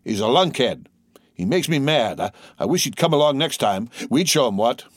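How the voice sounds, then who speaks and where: A man's voice: medium pitch, a little fast, no room sound, studio quality, voice pushed up, natural voice. A man voicing a young soldier, philosophical but easily exasperated, with plain rustic speech.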